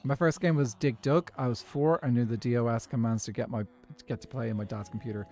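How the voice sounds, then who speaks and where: An Irish man in his twenties or thirties talks through a relaxed game stream in an easy, rambling way, breaking off to answer viewers. His voice sits at an ordinary middle pitch. Soft music plays faintly behind him. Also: a little fast. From Ireland. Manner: rambling, chatty, reads and answers chat. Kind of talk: casual livestream chat while gaming. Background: faint background music.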